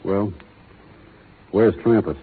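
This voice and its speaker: An actor playing a steady cowboy foreman who gives calm, clipped commands, in 1930s radio acting. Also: on the low side, slow, husky, heavily acted. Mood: stern. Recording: tinny 1930s radio broadcast, faint hiss.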